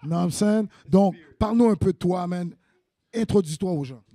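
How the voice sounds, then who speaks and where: Upbeat Quebec host, dry sound. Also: male voice, high voice, moderate pace, dark tone, dry recording. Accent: Quebec French. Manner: enthusiastic, hypes up guests. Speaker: web TV host. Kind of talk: casual interview hosting.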